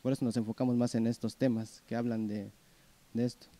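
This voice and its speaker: A man in his twenties, a singer-songwriter answering interview questions in a relaxed way with many fillers, on a handheld mic in a small room. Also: medium pitch, fairly quick. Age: 20s.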